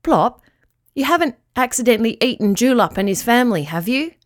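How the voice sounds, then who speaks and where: Lady doing a sweet young dog, kinda worried, little higher voice. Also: very animated, fairly quick. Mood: anxious. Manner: earnest, slightly raised pitch. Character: young male dog, eager and kind-hearted.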